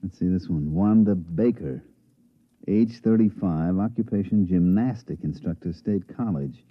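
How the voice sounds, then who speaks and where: An actor playing a fast-talking male swindler and promoter in a 1950s radio drama, who also narrates the story with slick salesman patter. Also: sits low, breathy edge. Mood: brash. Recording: dull vintage radio recording.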